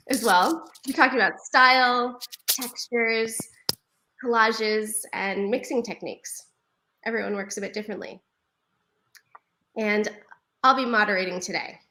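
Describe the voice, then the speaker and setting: A woman's voice: on the high side, fairly bright tone. A woman from New York City moderating a live online panel over a video call, upbeat and laughing often. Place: home living room.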